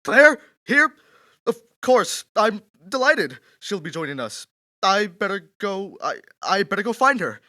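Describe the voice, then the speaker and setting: A man's voice: sits high, very animated, a little slow, sharp tone, no echo, clean studio sound, full character voice. A man acting a pompous, temperamental aristocratic poet in a period radio drama, theatrical and condescending. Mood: flustered.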